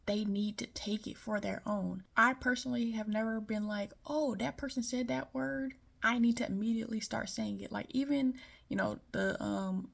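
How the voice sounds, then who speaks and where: A woman's voice: medium pitch, vocal fry. Frank woman with light Southern accent. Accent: light Southern American. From Detroit. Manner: opinionated, frank, conversational. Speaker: commentator. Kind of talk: casual monologue.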